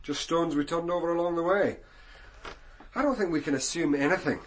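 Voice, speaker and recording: A man playing a senior police detective in a radio crime drama, Scottish, with a measured, dry, authoritative manner. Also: fairly high pitch, a little hiss or hum, close to an everyday voice, poor audio. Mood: brisk, dry.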